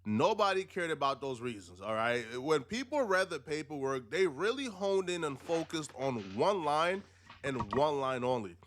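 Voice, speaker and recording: Emphatic man commentator, slightly high voice. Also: very animated, normal speed, fairly bright tone, a little hiss or hum. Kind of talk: explanatory commentary.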